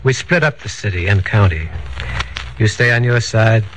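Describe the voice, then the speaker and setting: An actor playing a curt, businesslike, commanding crime boss in a 1940s radio drama. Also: male voice, lowish voice, animated, normal speed, natural voice. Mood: businesslike, assured. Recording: noisy 1940s radio broadcast recording.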